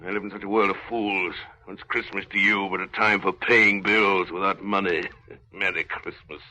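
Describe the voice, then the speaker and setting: A cantankerous old skinflint from a vintage radio play. The voice is very bright and hard-edged, grumbling and barking in curt, scornful bursts. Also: male voice, low voice, flat delivery, normal speed, poor audio. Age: older. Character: miserly, irritable old man, cold and scornful employer. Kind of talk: 1930s radio drama acting. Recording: old 1930s radio broadcast.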